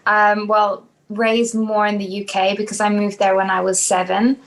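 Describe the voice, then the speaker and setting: A woman's voice: mid-range voice, little pitch movement, normal speed, low-quality recording. A young woman from South Africa, a dancer being interviewed, talking casually with many hesitations and restarts. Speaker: podcast guest, dancer.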